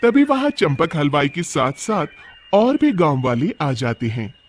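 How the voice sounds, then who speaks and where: A man's voice: fairly high pitch, big pitch swings, measured pace. A man narrating a cartoon story in a dramatic, scripted storytelling style, on a noisy recording.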